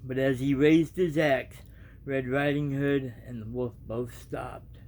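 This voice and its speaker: A man reading aloud and giving his reaction as a vlogger, in an informal, halting, rambling way. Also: mid-range voice, steady tone, a little mellow.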